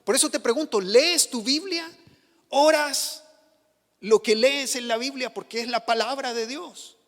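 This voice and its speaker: A man from Chile, a pastor, preaching a sermon, pushing his voice up on questions and sinking it low to drive points home. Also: measured pace, a bit bright, long reverb tail. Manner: passionate preaching, rising on questions and dropping low for emphasis. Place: church.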